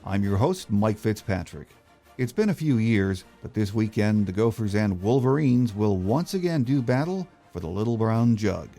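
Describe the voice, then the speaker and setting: A mellow American man hosts a sports podcast in a fairly low voice. He reads prepared narration, with music playing underneath. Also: expressive, normal speed. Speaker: podcast host.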